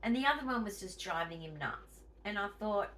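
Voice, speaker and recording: An Australian woman talks to her viewers with a lively, swooping pitch that jumps up on the words she stresses. She is chatty and emphatic and sounds a little wheezy. She is recorded from a few feet away, with a faint hiss underneath. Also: fairly quick, distant mic. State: wheezy, tight-chested. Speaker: vlogger. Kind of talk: casual home vlog chat.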